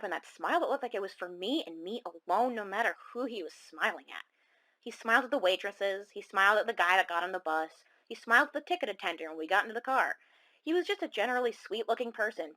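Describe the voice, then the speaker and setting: A woman's voice: mid-range voice, a bit bright, lightly acted. Actress as a confiding, self-conscious female caller. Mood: reflective, uneasy. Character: self-conscious, rueful female caller telling her eerie story. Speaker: caller on a horror radio show. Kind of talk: conversational dramatic monologue.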